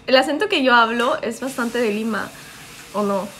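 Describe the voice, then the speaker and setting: A woman's voice: fairly high pitch, moderate pace, fairly bright tone, a little hiss or hum. A young woman from Japan chatting casually on a live stream in a small living room, laughing often and reading out viewer comments. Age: young adult.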